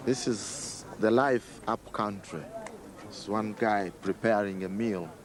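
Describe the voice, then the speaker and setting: A man slowly pointing things out and saying his group has no politics. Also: lowish voice, crowd noise.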